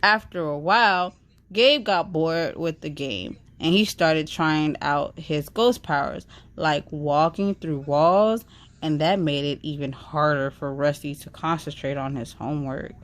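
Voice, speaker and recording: A woman reads a children's book aloud. Her voice sits low for a woman, and she takes the story at an unhurried, slow pace, narrating it as a read-aloud.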